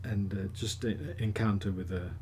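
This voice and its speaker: A man in his forties, a potter, answering interview questions outdoors in a halting, thoughtful way with many restarts. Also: fairly low pitch, fairly even intonation, a little mellow, a little hiss or hum. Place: outdoors by a kiln.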